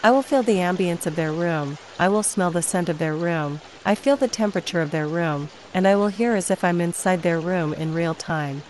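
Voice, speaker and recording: A woman with a slightly low voice reads guided meditation affirmations. Her phrasing is measured and deliberate. A faint layer of noise and trickling, watery ambience sits behind her. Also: darkish tone, rough recording.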